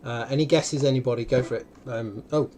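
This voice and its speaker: A man hosting a casual online game stream, bantering in a chatty, animated and playful way. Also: medium pitch, fairly quick, slight background noise. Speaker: host of a livestream. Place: indoors, on an online video call.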